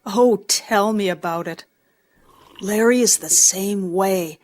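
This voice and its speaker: An actress playing an easygoing, chummy married neighbor in an audio drama. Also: female voice, mid-range voice, slow pace, darkish tone. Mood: friendly, casual. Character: easygoing married woman, friendly neighbor and confidante.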